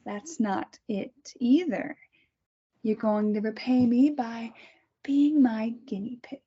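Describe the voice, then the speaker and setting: Woman reading a falsely sweet mad-doctor mother, high voice, soft and husky, dull lo-fi sound. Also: slow pace. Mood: cold threatening. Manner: sugary, calm and menacing. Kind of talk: amateur table read. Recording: muffled lo-fi recording.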